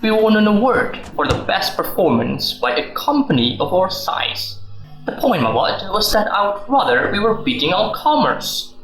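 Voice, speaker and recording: Male actor as evasive middle-aged husband, very animated intonation, radio drama, roomy sound, faint music. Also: high voice, normal speed, full character voice. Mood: defensive, exasperated. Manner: exasperated, joking, evasive. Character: defensive, flippant middle-aged husband and office worker. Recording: roomy echo, music underneath.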